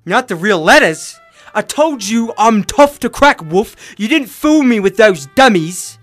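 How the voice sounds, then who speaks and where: A man performs a tough-talking but rattled swindler in a radio detective play. His voice runs high and his words come slowly, over faint music. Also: big pitch swings, a bit bright, heavily acted. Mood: defensive. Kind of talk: old-time radio drama acting.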